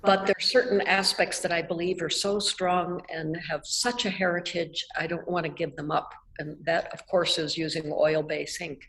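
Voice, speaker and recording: Older lady from nebraska, slightly low voice, thoughtful answers on a webcam call. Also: little pitch movement, rough recording. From Nebraska, United States. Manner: reflective, measured, with soft laughs. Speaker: artist guest. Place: art gallery, indoors. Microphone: video call webcam.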